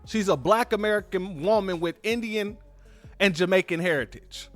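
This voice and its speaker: A man's voice: high-pitched, moderate pace, faint music behind. A man hosting a political commentary show, talking emphatically and informally and repeating his points to his viewers. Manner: emphatic, repetitive, informal, addresses viewers as family. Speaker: commentary channel host.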